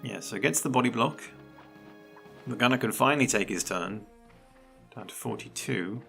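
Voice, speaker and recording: Gravelly-voiced male game commentator. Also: medium pitch, moderate pace, fairly bright tone, soft background music. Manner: chatty and analytical, laughs often. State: slightly croaky voice. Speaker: esports commentator.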